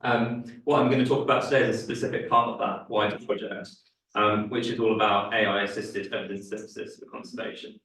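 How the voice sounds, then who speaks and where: A young man delivers a seminar talk in a low, flat voice, stopping often on fillers and restarts. His voice is a little worn, as if he is losing it. He sounds far from the mic in a very echoey room. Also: a little fast. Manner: hesitant, many fillers and restarts. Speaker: guest speaker. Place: lecture room.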